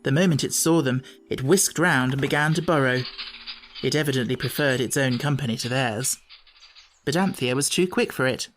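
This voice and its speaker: A man's voice: mid-range voice, normal speed, a bit bright, slight background noise. A man reading a children's story as scripted audiobook narration.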